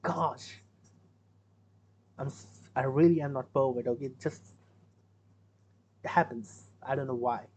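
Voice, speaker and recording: A man's voice: mid-range voice, measured pace, pauses often, darkish tone, a little hiss or hum. A young man with an Indian accent, giving rambling, casual commentary as he reacts to a music video in a small room at his webcam. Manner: rambling, self-correcting, chatty.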